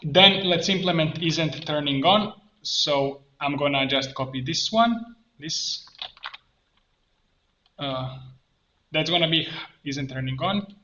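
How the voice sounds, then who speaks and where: A man with a bright, slightly high voice talks through a software tutorial. He thinks aloud in halting, step-by-step phrases. The sound is echoey with faint muffling and some noise, with keyboard typing and clicks behind him. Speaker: tutorial presenter and coach. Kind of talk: informal screen-share tutorial, thinking aloud. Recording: roomy echo, muffled band, background noise. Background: keyboard typing and mouse clicks.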